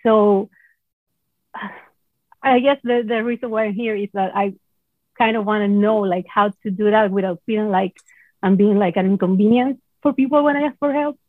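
A woman from Venezuela, a native Spanish speaker, chatting casually and haltingly as a self-conscious coaching client. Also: medium pitch, fairly even intonation, dry recording. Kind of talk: casual personal chat. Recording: muffled, dry remote-call sound.

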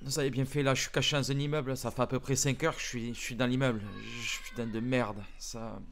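A man's voice: mid-range voice, level tone, fast, close to an everyday voice. A man playing a panicked, swearing smuggler. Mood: panicked pleading. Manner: swearing constantly, stammering, often hushed whispers. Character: panicked young male smuggler hiding from police. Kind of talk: improvised roleplay acting.

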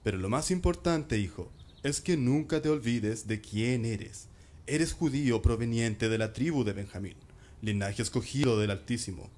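A man plays a loving father in a radio drama. He is earnest and solemn, speaking with tender pride in an average-pitched male voice. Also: moderate pace, darkish tone, natural voice.

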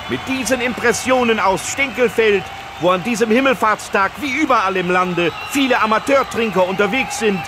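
An actor playing a frantic sports commentator, shouting excitedly in a parody live radio commentary. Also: male voice, high voice, close to an everyday voice. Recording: loud crowd and venue noise. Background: cheering crowd.

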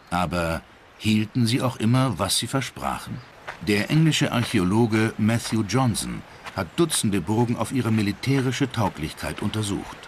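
A man narrates a documentary in a low, animated voice. He reads from a script as a narrator, with clear background music accompanying him.

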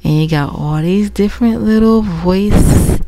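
A woman vlogger talks casually through a software demo at a slow pace. She rambles a little, thinking aloud at her computer microphone, with a mid-pitched voice. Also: dry recording.

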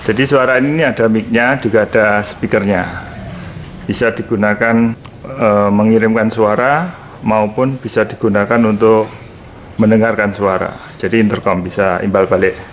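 A man's voice: lowish voice, fairly even intonation, normal speed. A man giving a casual hands-on product demonstration, repeating short phrases as he goes. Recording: noticeable background noise.

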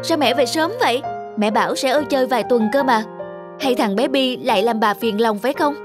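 A woman acts a busy working mother in a cartoon family drama. She is high-voiced, brisk and fussing, with an impatient edge over clear music. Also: lightly acted. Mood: concerned. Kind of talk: animated family drama acting. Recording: music under the voice.

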